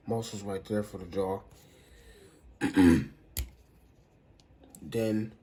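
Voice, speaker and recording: Congested man with a low voice. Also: warm tone, slight background noise. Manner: rambling, halting, restarts sentences often. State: congested, stuffy nose. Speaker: toy reviewer. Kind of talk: casual unscripted review.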